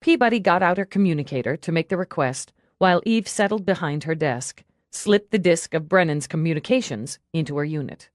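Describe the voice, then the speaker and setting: A woman's voice: deep voice, animated, brisk, few pauses, no room sound, clean studio sound. A woman reading scripted fiction as an audiobook narrator.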